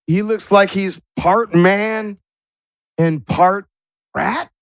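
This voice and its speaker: A man's voice: sits high, drawn-out words, smooth. A man acting as game master, narrating scenes of a tabletop role-playing game to his players.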